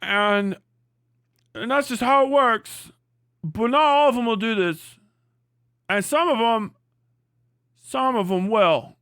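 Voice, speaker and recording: Comedy simpleton, male performer putting it on: high-pitched, halting, repetitive, earnestly clueless. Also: fairly bright tone, clear, resonant tone, no room sound, studio quality, full character voice. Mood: earnest, dim. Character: dim-witted movie simpleton explaining things earnestly.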